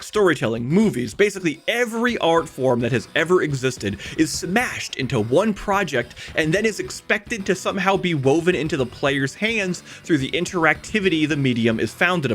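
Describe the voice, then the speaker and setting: A man narrating a scripted video essay in an emphatic, conversational way, speaking straight to the viewer. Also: fairly high pitch. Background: background music.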